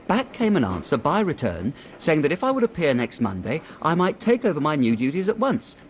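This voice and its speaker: An actor playing an earnest, worried young city clerk in a radio drama, chatty and slangy but deferential. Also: male voice, a little high, huge pitch swings, a little fast, slight background noise. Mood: animated. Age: young adult.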